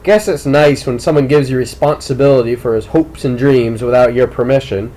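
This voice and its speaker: A man voicing a brilliant, manipulative teenage tennis star in an audiobook, speaking in a deliberate, probing and coolly insistent way. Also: mid-range voice, warm tone, natural voice, poor audio. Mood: bitter, sardonic. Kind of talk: audiobook character dialogue.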